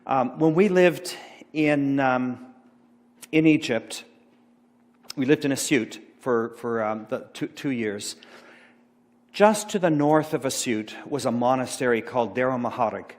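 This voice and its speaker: A man's voice: mid-range voice, a little mellow. Middle-aged guy preaching off slides, lots of ums and restarts, big reverby church hall. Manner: rambling, halting, many fillers and restarts. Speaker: pastor. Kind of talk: informal sermon talk about slides. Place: church sanctuary.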